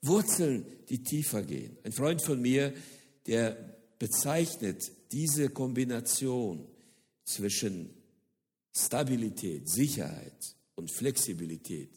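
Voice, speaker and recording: A man's voice: mid-range voice, slow. Middle-aged guy from the former soviet union preaching, voice swings up and down a lot, lots of uhs, big echoey hall. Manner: animated, repeats words for emphasis, frequent hesitations. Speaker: speaker on church mission. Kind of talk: lively lecture-sermon. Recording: long hall reverb.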